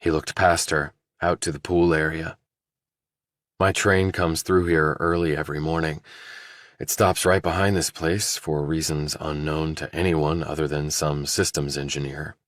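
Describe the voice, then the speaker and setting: A man narrating a thriller audiobook, reading scripted prose and character dialogue in a deep voice. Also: level tone, unhurried, a bit bright, no room sound, studio quality.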